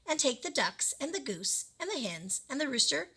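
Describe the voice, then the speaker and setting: A woman voicing a storybook wise man who gives odd orders in a measured, authoritative manner. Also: high-pitched, big pitch swings, bright, forceful tone, pitched above the performer's own voice, exaggerated voice. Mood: calm authority. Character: wise old village man giving calm, odd orders.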